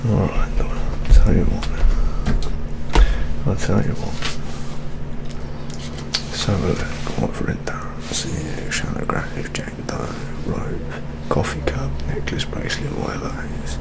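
A young man playing a brooding British police detective in an ASMR roleplay, with an English accent and a breathy, half-whispered, musing delivery. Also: deep voice, full character voice, low-quality recording. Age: young adult. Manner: slow musing, repeating himself, colloquial. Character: brooding, gruff British male police detective at crime scene. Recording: clear steady background noise.

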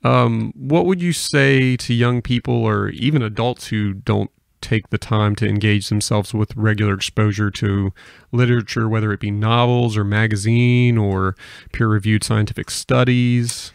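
A man's voice: fairly low pitch, fairly even intonation, normal speed, creaky, no room sound. An American man hosting a casual podcast conversation, speaking into a close microphone. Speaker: podcast host.

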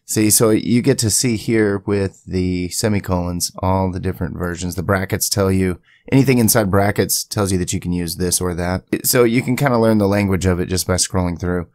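A man giving a casual software tutorial in a conversational way, with chatty asides, as the creator of the video. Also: deep voice, moderate pace, hardly any pauses, no echo. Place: indoors at a home PC, voice-over to screen capture.

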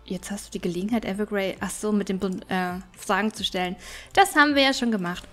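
A woman's voice: mid-range voice, fast talker, fairly bright tone. A woman gaming YouTuber giving casual, chatty let's play commentary, thinking aloud as she plays. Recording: music behind the voice.

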